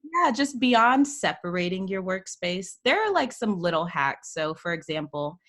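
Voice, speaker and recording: A woman's voice: lowish voice, sing-song, normal speed, fairly bright tone. Chatty woman giving work tips. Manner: enthusiastic, laughs often, says "like" a lot. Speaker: guest, workplace psychology coach. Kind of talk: casual video-call interview. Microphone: video call.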